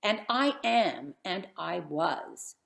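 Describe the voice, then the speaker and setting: A woman's voice: lowish voice, animated, very slow, creaky, fairly bright tone, pitched above the performer's own voice, exaggerated voice. A woman voicing God, asking grand questions from a whirlwind. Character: all-powerful God speaking from whirlwind. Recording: roomy echo.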